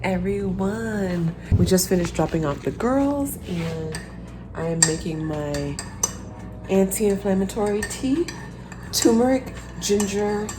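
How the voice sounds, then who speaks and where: A woman in her thirties, a parent talking casually to camera while getting over a cold, sounding congested and thinking out loud with many pauses. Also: medium pitch. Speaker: vlogger, parent.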